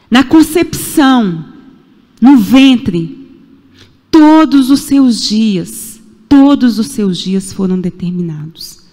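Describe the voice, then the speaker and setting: High-voiced Brazilian woman preaching. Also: mellow. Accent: Brazilian Portuguese. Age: 40s. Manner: emphatic preaching with repetition and rhetorical questions. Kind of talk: sermon. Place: church sanctuary. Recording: echoey church hall.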